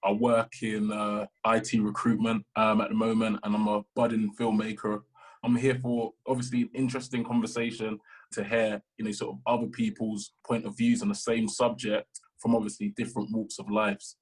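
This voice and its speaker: A man's voice: fairly low pitch, little pitch movement, normal speed, slightly rough, poor audio. A man, speaking in a laid-back way with fillers as a discussion guest. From London. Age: 30s. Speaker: panel guest.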